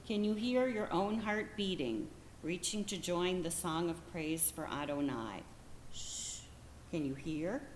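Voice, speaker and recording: A woman with a slightly low voice reads a meditative prayer poem in a hushed, gentle way. Soft shushing sounds break up her calm, repeated questions. The roomy echo of a hall surrounds her. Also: a little hiss or hum.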